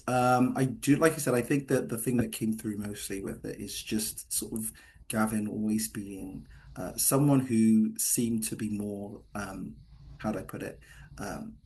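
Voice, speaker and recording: A man speaking as a screenwriter in a Q&A, halting and hedging as he explains, often repeating himself. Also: mid-range voice. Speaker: screenwriter answering questions. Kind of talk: post-reading Q&A discussion.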